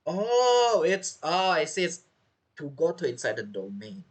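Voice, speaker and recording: A man giving casual let's play commentary as a streamer, halting and repeating his phrases as he reacts to the characters. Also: on the high side, wildly swooping pitch. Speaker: game streamer.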